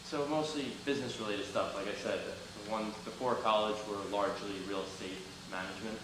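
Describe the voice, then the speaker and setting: A man's voice: on the low side, steady tone, a little fast. Young guy guest speaker, earnest, talking to a class from across an echoey room. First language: Albanian. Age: young adult. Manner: earnest, motivational, loud at the start. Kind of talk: talk to students with Q&A. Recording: roomy, from a distance, faint noise.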